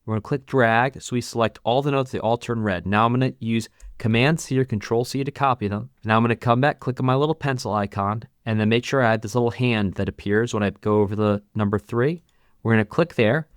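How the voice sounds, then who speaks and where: A man's voice: medium pitch, fairly quick, clean tone, no echo. Man giving a dry, close-miked tutorial. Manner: casual, instructional, talking through each click. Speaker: music teacher and channel host. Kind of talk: step-by-step software tutorial narration.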